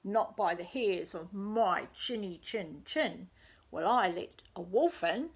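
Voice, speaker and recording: A woman's voice: mid-range voice, lively intonation, slow pace, slightly rough, exaggerated voice. Woman playing a brash, rude little pig. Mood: rude, defiant. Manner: brash, shouting threats. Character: horrid little male pigs, lazy, rude, defiant. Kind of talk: children's storybook character voice.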